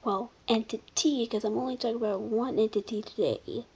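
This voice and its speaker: A commentator giving casual, unscripted video commentary in an informal, halting way, often backtracking. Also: mid-range voice, steady tone, slightly rough, dull audio. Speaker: video creator presenting a ranking.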